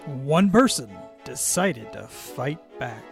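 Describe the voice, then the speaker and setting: A man's voice: medium pitch, sing-song, takes it slowly, creaky, exaggerated voice. Portentous radio-drama recap voice from a man, mid-range, trailer-like, faint music bed. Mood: ominous.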